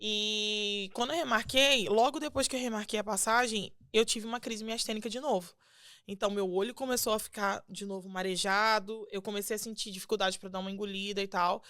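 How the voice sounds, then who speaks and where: A young adult woman with a Brazilian Portuguese accent, a singer guesting on a podcast, telling her faith story in an earnest, animated way and acting out quotes. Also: mid-range voice, brisk, dry recording, studio quality. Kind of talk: casual testimony chat.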